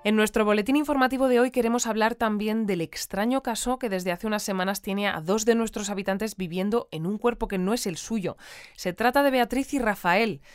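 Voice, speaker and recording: A composed female radio news presenter, played by an actress in an audio drama. Her voice is smooth and resonant, with measured, articulate delivery. The sound is close and dry, like a studio interview. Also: mid-range voice, no room sound, close to an everyday voice.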